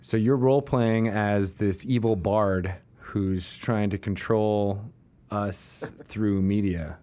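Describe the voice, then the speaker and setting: A man hosting a podcast in casual, bantering chat, with a rambling, halting way of talking. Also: fairly low pitch, fairly even intonation, warm tone. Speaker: podcast host.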